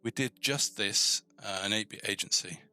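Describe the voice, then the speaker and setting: A man hosting a casual live coding tutorial, thinking aloud informally and tentatively, often pausing mid-thought as he works. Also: lowish voice, pushed and bright. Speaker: host of a coding tutorial stream.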